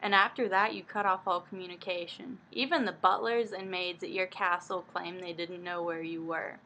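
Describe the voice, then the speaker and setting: A woman's voice: lowish voice, fairly even intonation, normal speed, sharp tone, a little hiss or hum, low-quality recording. A woman voicing a demanding, amorous and suspicious young nobleman in a dramatic book reading. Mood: suspicious, accusing. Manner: bold, demanding.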